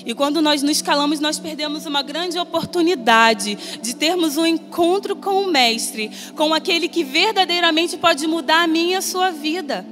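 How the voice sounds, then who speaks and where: A woman preacher with a Brazilian Portuguese accent, reading scripture and giving an earnest, measured sermon that rises in emphasis. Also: high voice. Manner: earnest, measured, rising emphasis on quoted voices. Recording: long reverb, soft music behind.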